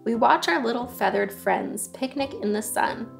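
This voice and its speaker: A woman's voice: on the high side, animated, moderate pace, gravelly, faint music behind. A young adult woman presenting a children's story time, reading aloud and chatting in a friendly way. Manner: friendly, chatty asides to her dog. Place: living room sofa, indoors.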